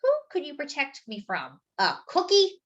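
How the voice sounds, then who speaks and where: Reasonable, mildly fussy storybook man, read by a woman, reassuring and earnest, pitched high with animated inflection. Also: normal speed, highs cut off. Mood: fussy, doubtful. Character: kindly, reasonable, slightly fussy grown man.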